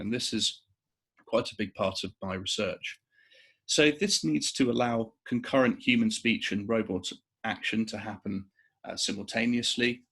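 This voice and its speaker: A man giving an explanatory research presentation as an academic, in a measured, somewhat hesitant manner with pauses. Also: fairly low pitch, vocal fry, fairly bright tone, breathy edge.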